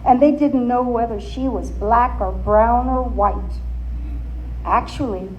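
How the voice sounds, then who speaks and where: A woman reading a story aloud as a dramatic narrator, deliberate and expressive. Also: a little high, highs cut off. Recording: faint background noise.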